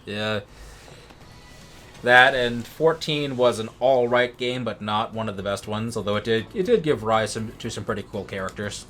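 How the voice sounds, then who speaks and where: A man's voice: on the low side, moderate pace, a bit bright. Geeky man chatting over game music. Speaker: co-commentator on a let's play. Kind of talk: casual chat over gameplay.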